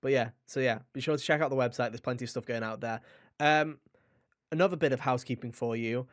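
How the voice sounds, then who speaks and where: A man's voice: mid-range voice, quick pace, no room sound. Casual male podcast host, dry close sound. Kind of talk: casual chat, podcast hosting.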